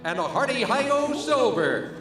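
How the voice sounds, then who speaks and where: A man's voice: very high-pitched, big pitch swings, unhurried. A man narrating a Western radio play in a dramatic old-time announcer style, grandiose, stretching out key phrases. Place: performing arts center stage, live. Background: orchestral music accompaniment.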